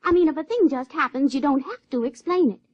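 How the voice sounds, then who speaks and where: High-voiced, naive backwoods girl. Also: animated, quick pace, no echo. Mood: earnest. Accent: rural Southern American. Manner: folksy, guileless. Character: naive, wilful backwoods girl, plain-spoken. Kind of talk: radio drama acting. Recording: dull, dry vintage radio recording.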